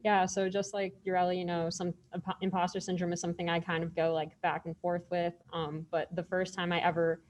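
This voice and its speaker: A young woman, a college student on a panel, sharing a personal story in a measured way with frequent pauses. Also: lowish voice, a little hiss or hum. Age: young adult. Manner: flat, measured delivery with "um" pauses.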